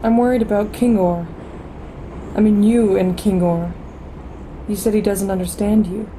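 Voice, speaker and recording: An actress in a radio play as an anxious, grieving lover, soft and husky, hesitant and sorrowful. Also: female voice, mid-range voice, fairly even intonation, moderate pace, slight background noise, lightly acted, rough recording. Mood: worried. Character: anxious, grieving woman in love with a programmer.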